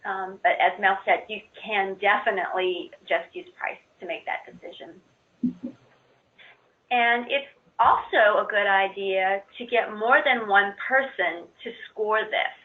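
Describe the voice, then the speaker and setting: Woman explaining haltingly over a muffled phone line. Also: mid-range voice. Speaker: conference call presenter and adviser. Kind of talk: informal instructional conference call. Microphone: conference call line. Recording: muffled narrow-band conference phone line.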